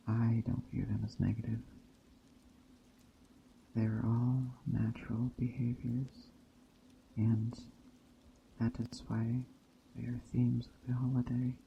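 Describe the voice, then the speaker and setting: A man speaking softly and deliberately in an ASMR roleplay as a gentle witch, often slipping into a whisper. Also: mid-range voice, gravelly. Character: gentle pagan witch teaching a traveler about a holiday. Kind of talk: ASMR roleplay, soft-spoken character monologue. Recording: dull muffled audio, faint ambient noise. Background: soft nature ambience of rain and forest.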